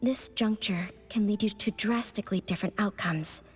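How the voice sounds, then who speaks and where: A woman playing an enigmatic fortune-telling witch in a video game, speaking in a cryptic, deliberate, oracular manner. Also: mid-range voice, lightly acted. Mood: solemn warning. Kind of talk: scripted video game voice acting. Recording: music behind the voice.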